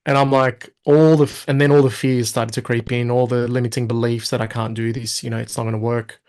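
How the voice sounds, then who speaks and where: A man in his thirties chats as an interview guest, his voice sitting at an everyday middle pitch. He tells his story with energy, slipping into quick acted-out asides of what he thought at the time. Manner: animated storyteller, quotes himself in lively asides. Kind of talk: casual interview talk.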